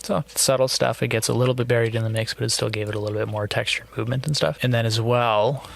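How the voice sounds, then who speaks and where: A man's voice: mid-range voice, fairly even intonation, fast talker, vocal fry. A man giving a casual, loose tutorial as a music producer, informal and a little self-deprecating.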